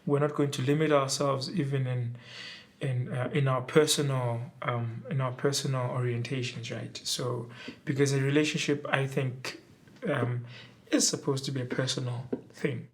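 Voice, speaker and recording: A man who is an academic, speaking in a documentary interview in a lecturing way with frequent pauses. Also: mid-range voice, fairly even intonation. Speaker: academic and PhD researcher.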